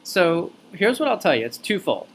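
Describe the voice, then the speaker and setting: A man working as a recruiter on a phone interview, explaining things clearly point by point in an articulate way. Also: high-pitched, very animated, moderate pace, fairly bright tone, slight background noise. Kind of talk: explanatory job interview talk.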